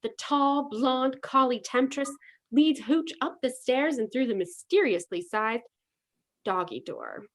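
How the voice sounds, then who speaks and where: A woman's voice: high voice, a little slow. A woman narrating a scripted comedy with sassy, mock-dramatic delivery.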